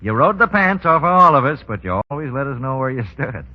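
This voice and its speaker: A man's voice: mid-range voice, sing-song, brisk. A man acting a shrewd American racketeer boss in a 1930s radio drama, with slangy, sardonic, commanding talk. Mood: persuasive. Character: smooth, shrewd male racketeering crime boss. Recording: dull 1930s radio broadcast.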